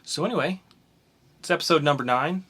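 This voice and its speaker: A man co-hosting a casual podcast, opening with a hyped intro and then chatting relaxed through the news. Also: fairly high pitch, a little fast, husky. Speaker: podcast co-host.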